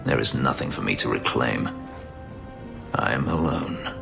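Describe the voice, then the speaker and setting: A man playing a bitter, brooding former slave warrior in a video game drama, with a gravelly voice. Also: very deep voice, lively intonation, unhurried, heavily acted. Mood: bitter, desolate. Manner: brooding, embittered. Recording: loud music behind the voice.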